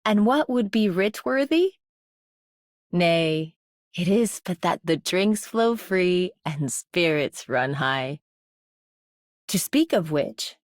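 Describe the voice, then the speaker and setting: An actress playing a grandiloquent woman poet in a game, speaking in measured, archaic, flowery phrases. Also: lowish voice, no room sound, studio quality.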